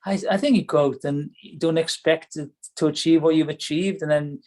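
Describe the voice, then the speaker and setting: This is a middle-aged man with a Welsh accent and a voice pitched a little high. He speaks as a podcast guest in relaxed, reflective chat. He often hesitates and restarts mid-sentence. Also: fairly quick. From Wales.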